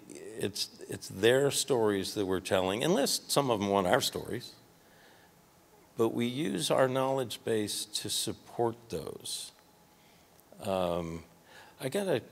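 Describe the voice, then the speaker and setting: Older Midwestern man, folksy and low. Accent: Midwestern American. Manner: conversational, folksy, trailing restarts. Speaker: award recipient speaker. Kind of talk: conference talk with audience Q&A. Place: large hotel ballroom stage. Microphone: handheld microphone at a podium.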